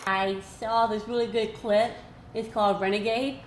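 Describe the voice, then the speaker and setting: Woman interviewer, higher voice, echoey room. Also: fairly even intonation, normal speed, a little hiss or hum. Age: 30s. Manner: simple questions, frequent "mm-hmm" and "cool". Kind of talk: casual interview questions.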